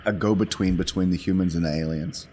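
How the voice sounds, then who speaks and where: Tabletop player, male: low pitch, hesitant casual delivery, slightly noisy recording. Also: fairly even intonation, fast talker, mellow. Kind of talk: casual game chat. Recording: faint background noise.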